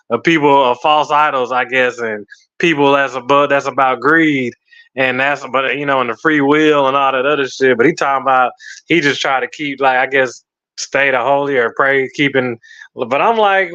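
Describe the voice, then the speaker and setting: A man's voice: a little high, fairly bright tone, no room sound. A man telling a story in a rambling, slangy way, swearing and using lots of fillers. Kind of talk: casual storytelling. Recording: slightly muffled lo-fi audio.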